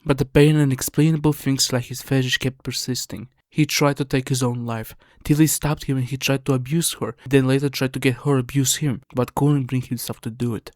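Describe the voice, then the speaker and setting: A man's voice: mid-range voice, steady tone, a little fast, hardly any pauses, dry recording, clean studio sound. A man reading scripted video essay narration in an earnest, somber, explanatory way. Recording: music under the voice.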